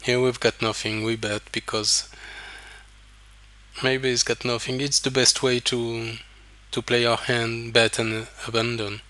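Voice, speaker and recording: A man with a French accent, a native French speaker, coaching poker as he explains his hands over a recorded session. He talks in a halting way and repeats words while he thinks aloud. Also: medium pitch, flat delivery, bright, forceful tone.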